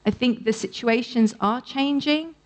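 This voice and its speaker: A woman speaking as an academic panellist at a conference, explaining her answers in a measured way. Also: fairly high pitch. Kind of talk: answering audience questions on a panel.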